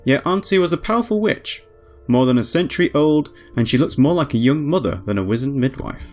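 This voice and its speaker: A man reading a visual novel aloud as a let's play host, in an expressive storybook style with casual asides. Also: medium pitch, brisk, faint music behind. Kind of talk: dramatic read-aloud narration with casual asides.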